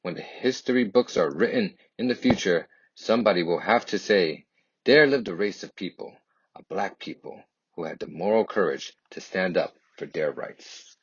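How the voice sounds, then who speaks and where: A man's voice: low voice, animated, normal speed, husky, no room sound, far from the mic, dull audio, full character voice. A man voicing a solemn, resolute preacher giving a speech in a storybook read-aloud.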